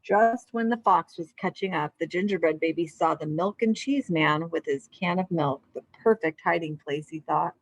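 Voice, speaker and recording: A woman's voice: on the low side, moderate pace, few pauses, no room sound, rough recording. A woman speaking as a children's teacher, reading a storybook aloud and giving simple, encouraging instructions with check-in questions.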